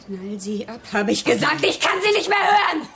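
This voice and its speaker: High-voiced, edgy gangster's girlfriend. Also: sing-song, normal speed, vocal fry, a bit bright, slight background noise, full character voice. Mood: furious. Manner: defiant, edgy, easily provoked. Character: fed-up, thin-skinned young gangster's girlfriend named Dagi. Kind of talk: radio drama acting.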